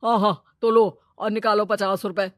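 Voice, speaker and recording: A woman's voice: mid-range voice, steady tone, normal speed, no echo, studio quality, close to an everyday voice. Woman putting on a greedy, bossy village moneylender. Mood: brusque, pushy. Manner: brusque, bossy. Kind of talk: cartoon moral story acting.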